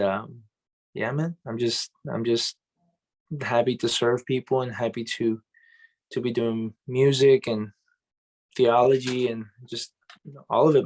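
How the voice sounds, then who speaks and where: A young adult man from Colombia, a pastor and music minister, telling stories in a loose, animated way on an interview show. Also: medium pitch, low-quality recording. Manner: loose and animated, says "man" and "bro" a lot. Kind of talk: casual interview storytelling.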